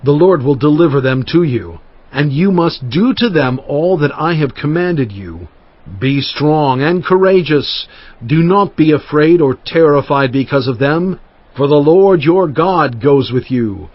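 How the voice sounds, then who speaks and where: Moses, husky and fervent. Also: male voice, fairly high pitch, lively intonation, unhurried, no room sound. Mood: exhorting. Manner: earnest, exhorting, emphatic. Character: Moses, aged male prophet and leader. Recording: muffled lo-fi audio with faint music.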